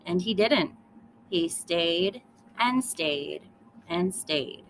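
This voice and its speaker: A woman's voice: on the low side, animated, very slow, slight background noise, low-quality recording. A woman teacher reading a children's storybook aloud, speaking directly to young listeners with emphatic storytelling.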